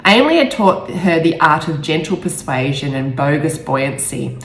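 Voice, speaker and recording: A woman author speaking in a halting, emotional way, stumbling over words now and then. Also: low-pitched, sing-song. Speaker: author reading own novel aloud. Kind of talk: amateur storybook reading with personal asides. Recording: roomy echo with faint music.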